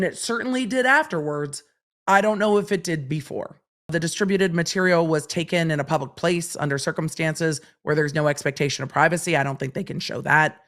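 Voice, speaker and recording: A middle-aged woman hosting a legal podcast, her voice a little raspy from long days of streaming, walking through the law point by point and answering her own questions. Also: low-pitched. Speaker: legal commentator and podcast host. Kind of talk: solo legal podcast commentary.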